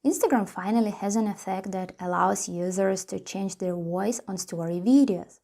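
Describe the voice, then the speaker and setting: A woman's voice: mid-range voice, moderate pace, vocal fry, warm tone. A woman presenting a tutorial, reading scripted step-by-step instructions.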